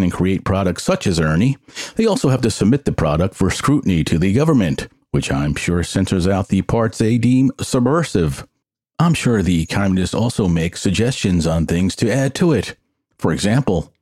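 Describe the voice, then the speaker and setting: Low-voiced man hosting a tech podcast. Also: darkish tone, no echo, clean studio sound. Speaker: podcast host. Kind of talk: scripted solo tech news commentary.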